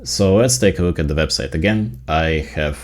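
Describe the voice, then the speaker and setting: A man with a Ukrainian accent, a native Ukrainian speaker, narrating a casual software tutorial in an explanatory way, stressing his key points. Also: a bass voice, normal speed. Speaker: tech video creator.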